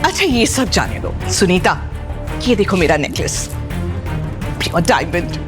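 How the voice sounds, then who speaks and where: A woman's voice: on the low side, very animated, unhurried, music in the background. A woman playing a haughty, boastful middle-aged aunt in an audio drama, with a snide, theatrical, taunting manner. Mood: condescending. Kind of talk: Hindi audio drama acting.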